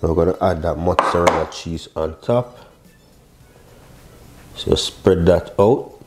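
A man's voice: low voice, measured pace, loud music behind the voice. A man, casually talking viewers through a recipe step by step, with short chatty exclamations. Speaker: cooking show host, chef. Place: indoor modern home kitchen.

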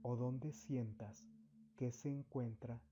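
A man narrating a guided meditation as a spiritual guide, giving deliberate instructions in short phrases with long pauses. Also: medium pitch, steady tone. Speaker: spiritual therapist, meditation guide and channel host. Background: background music.

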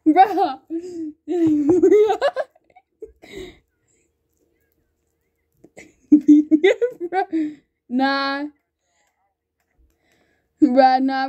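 A woman chatting excitedly while playing an online game with a friend, laughing often and calling everyone bro. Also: high voice. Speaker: gamer recording gameplay. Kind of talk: excited gaming banter with a friend.

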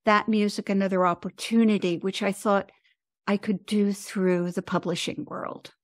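A seventy-year-old woman with an American accent gives reflective interview answers. She phrases things thoughtfully and haltingly, at a middling pitch. The sound is dry, with no room echo. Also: fairly even intonation. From United States. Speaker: podcast guest, composer and author.

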